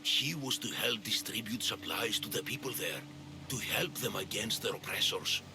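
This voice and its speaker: Low-voiced, stern Athenian general played by a man. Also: normal speed, close to an everyday voice. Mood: guarded, insistent. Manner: commanding, curt, self-important. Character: stern, ambitious male Athenian general, proud and scheming. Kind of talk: scripted video game dialogue.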